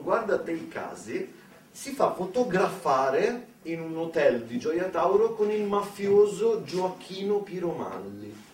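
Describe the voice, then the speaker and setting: A man's voice: a little high, a little hiss or hum. An Italian man performing a satirical theatre monologue in an ironic, colloquial way, full of rhetorical questions and asides. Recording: echoey room, distant microphone.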